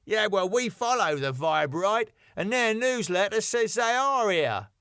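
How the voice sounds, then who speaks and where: A man's voice: high voice, lively intonation, a little slow, a bit bright, clean tone, dry recording, studio quality, pitched above the performer's own voice, exaggerated voice. Man doing a drawling stubborn hippie. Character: dim young male hippie, stubborn.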